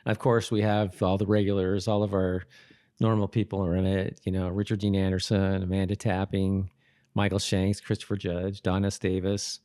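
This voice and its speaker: A man's voice: low-pitched, little pitch movement, normal speed, warm tone, clean tone, dry recording, studio quality. A man co-hosting a podcast in casual conversation, rambling easily and correcting himself as he goes. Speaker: podcast host. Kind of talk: casual rewatch podcast chat.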